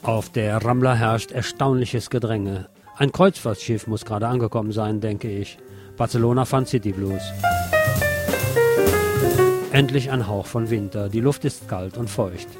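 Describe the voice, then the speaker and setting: A man's voice: fairly low pitch, fairly even intonation, moderate pace. A middle-aged man reading a scripted satirical radio column with ironic, theatrical emphasis. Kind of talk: scripted satirical commentary and literary reportage. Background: music behind the voice.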